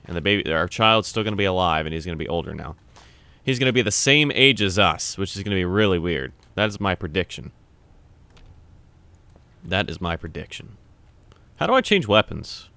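Young man, casual gameplay chat, swearing, lively voice, lowish pitch. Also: gravelly, no room sound. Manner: loud startled yelps and swearing; reads on-screen text aloud. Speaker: gamer playing on camera. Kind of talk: casual let's-play commentary.

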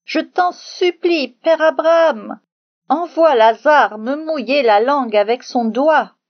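Woman acting a rich man pleading, high and loud. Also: slow pace, lo-fi sound. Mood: desperate pleading. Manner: loud, imploring. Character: suffering rich man in hell, desperately pleading.

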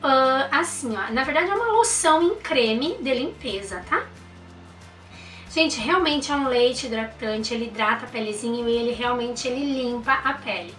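A woman's voice: a little high, animated, moderate pace, fairly bright tone, roomy sound, recorded from a distance, faint music behind. A young woman, reviewing baby products in a chatty, enthusiastic way and using lots of diminutives. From Brazil. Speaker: mom vlogger. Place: indoor room.

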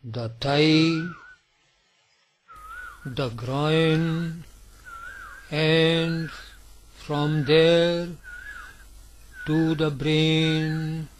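Male meditation guide, higher-pitched voice, extremely slow delivery in brief phrases, faint background noise with birdsong. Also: fairly even intonation, low-quality recording. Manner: deliberate short phrases with long pauses. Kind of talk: slow guided yoga nidra meditation narration.

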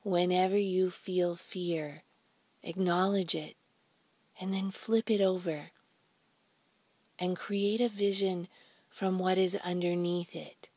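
Woman, guided meditation, lowish pitch, soft breathy tone, soothing, pausing between phrases, close dry sound. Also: steady tone, dry recording. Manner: soothing, deliberate, with pauses between short phrases. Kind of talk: slow guided meditation narration.

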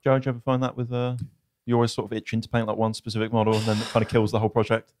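A man's voice: on the low side, completely flat delivery, racing, darkish tone, dry recording. A man in his twenties with a southern English accent, bantering casually as a podcast co-host, close on the mic. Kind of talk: casual chat, reading listener comments. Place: small podcast studio. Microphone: close microphone on boom arm.

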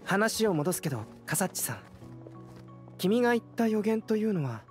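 An actor playing a calm young male alchemist who explains things in a gentle, measured way. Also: sits high, soft background music. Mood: analytical. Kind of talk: video game voice acting. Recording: game cutscene audio with soundtrack under it.

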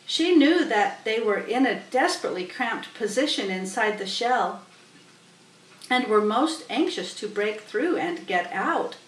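A woman's voice: on the high side, lively intonation, unhurried. A middle-aged woman narrating a children's story aloud, recorded on a webcam in a small room. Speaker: storybook reader. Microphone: webcam a little way off. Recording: roomy, distant webcam microphone.

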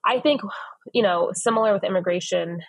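A woman's voice: lowish voice, brisk, no echo. A woman in her forties from West Phoenix, answering earnestly over a video call, with many fillers and restarts. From West Phoenix, United States. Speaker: ministry leader, guest. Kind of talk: reflective interview answers. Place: home office, indoors.

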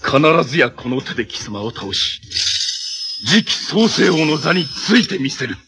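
A man's voice: on the high side, very animated, unhurried, sharp tone, a little hiss or hum, heavily acted. A man playing a haughty armored villain from a tokusatsu TV show, heir to an evil empire, making grandiose, commanding, theatrical declarations. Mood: threatening. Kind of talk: Japanese tokusatsu TV villain acting. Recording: clip from 1980s TV with music behind.

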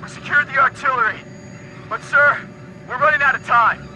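A strained male soldier in a video game. His voice is extremely high and urgent with anxiety. Engine and battle noise is clear behind him. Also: fairly even intonation, normal speed, bright, forceful tone, heavily acted, rough recording. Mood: anxious, urgent. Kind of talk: dramatic video game voice acting.

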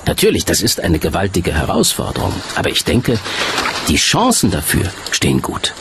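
Man's creaky, animated documentary voice-over. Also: deep voice, moderate pace, background noise. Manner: explanatory, addresses listeners with rhetorical questions. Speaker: German voice-over translator of a documentary presenter.

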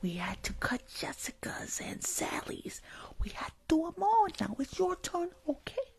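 A woman's voice: very high voice, sing-song, normal speed, darkish tone, voice pushed up, full character voice. A woman playing a rude, unqualified school nurse in an ASMR roleplay, bossy and mock-reassuring, repeating herself. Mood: mock-apologetic. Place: small indoor room.

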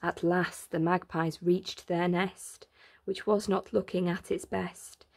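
A woman reading a rhyming children's picture book aloud in an expressive, deliberate way, drawing out words for emphasis. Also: on the low side, vocal fry. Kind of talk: expressive read-aloud of a rhyming bedtime story.

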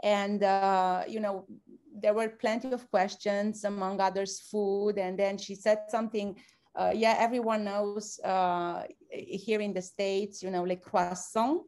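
A woman hosting an online event, speaking haltingly with many pauses. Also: medium pitch, flat delivery, darkish tone. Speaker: event host.

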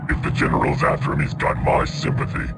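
An actor playing a brutal, contemptuous reptilian army soldier in a video game, with a growling, menacing delivery. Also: male voice, fast talker, natural voice. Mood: gloating. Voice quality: gravelly, growling. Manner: menacing, barking. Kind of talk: video game cutscene acting. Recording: game audio with music underneath.

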